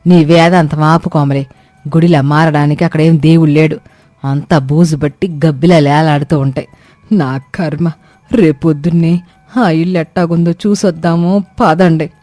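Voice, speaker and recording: Woman acting a melodramatic grumbling village mother-in-law, mellow tone. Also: sits low, steady tone, normal speed, no room sound, faint music behind, close to an everyday voice. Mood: wailing, bitter. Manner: melodramatic, scolding, rustic colloquial speech. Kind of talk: animated moral story cartoon dubbing.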